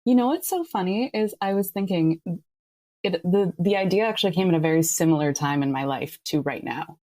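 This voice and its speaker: Low-pitched, wry woman chatting. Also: fairly quick, a little mellow. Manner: wry, anecdotal. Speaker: podcast creator, interview guest. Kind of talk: casual interview chat.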